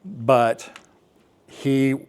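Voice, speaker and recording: American man telling a personal story, haltingly. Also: mid-range voice, animated, darkish tone, clear, resonant tone. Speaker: speaker, air traffic controller recounting an experience. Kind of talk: personal storytelling talk to an assembly.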